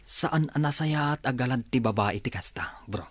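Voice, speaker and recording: A man's voice: mid-range voice, expressive, moderate pace, no echo, lightly acted. An actor playing an inquisitive, incredulous male buddy in an Ilocano radio drama, with an Ilocano accent.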